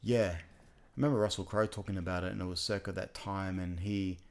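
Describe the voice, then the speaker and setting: Male co-host, low and gravelly, loose digressive delivery. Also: steady tone, a little fast. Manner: rambling, digressive, self-correcting. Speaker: podcast co-host. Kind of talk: casual chat about films.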